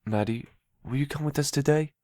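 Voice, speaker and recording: A man playing a gentle, childlike, simple-minded young man in an audio drama, speaking in an earnest, polite way. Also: fairly high pitch, brisk, no echo, lightly acted. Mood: hopeful, pleading.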